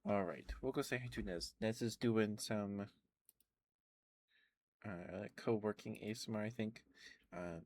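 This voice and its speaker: Soft-spoken man on a close ASMR mic. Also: lowish voice, a little slow, pauses often. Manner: soft, gentle, reassuring. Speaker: ASMR streamer. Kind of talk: whispered ASMR affirmations and casual stream chat. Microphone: close ASMR microphone with headphones.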